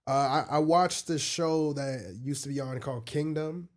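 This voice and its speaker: A man chatting casually as a podcast panelist, asking questions in a halting, roundabout way. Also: fairly high pitch, a little mellow. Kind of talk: casual chat.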